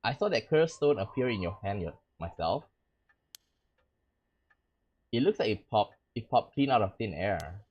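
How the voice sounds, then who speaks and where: A man's voice: on the low side, lively intonation, unhurried, pauses often, breathy edge, highs cut off. A man voicing a curious, skeptical friend of a cursed heroine, reading the game's lines plainly and conversationally. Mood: convinced.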